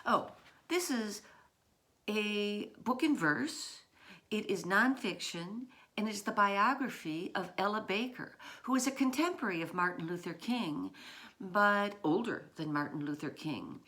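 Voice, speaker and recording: A woman who writes children's books, reading aloud and chatting in a storytime style, with deliberate, emphatic phrasing. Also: mid-range voice, expressive, pushed and bright. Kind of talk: storytime read-aloud with informal asides.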